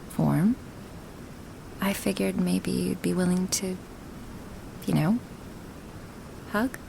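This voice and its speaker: A woman voicing a gentle, affectionate dragon woman in an intimate ASMR roleplay, breathy and hesitant, often slipping into whispers. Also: lowish voice, a little hiss or hum, rough recording. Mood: reassuring, shy. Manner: slow, hesitant, with sighs and pauses. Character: gentle dragon woman, awkward, affectionate toward her human. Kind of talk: ASMR roleplay, spoken softly and intimately to the listener.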